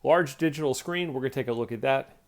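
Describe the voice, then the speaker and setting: A man's voice: mid-range voice, fast. A man giving a casual product demonstration, explaining things step by step in a plainspoken way. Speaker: product reviewer.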